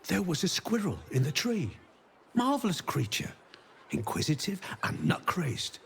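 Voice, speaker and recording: A man's voice: high-pitched, big pitch swings, unhurried, vocal fry, fairly bright tone, a little hiss or hum, heavily acted. An actor playing a confused, cheerful well-to-do man with lost memories in a video game, rambling absent-mindedly. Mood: dreamy delight. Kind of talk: video game character dialogue. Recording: music behind the voice.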